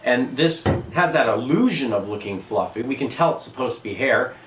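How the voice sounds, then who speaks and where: A man teaching an art lesson on video, speaking emphatically in short phrases with pauses. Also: medium pitch, lively intonation. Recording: echoey room, distant mic, noisy.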